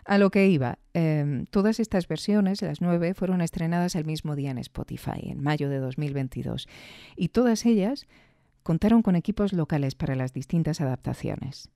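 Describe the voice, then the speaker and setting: Mellow-voiced Spanish woman hosting a podcast. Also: deep voice, normal speed, no room sound, studio quality. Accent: Spanish from Spain. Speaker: podcast host and reviewer. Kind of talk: reflective solo commentary on audio fiction.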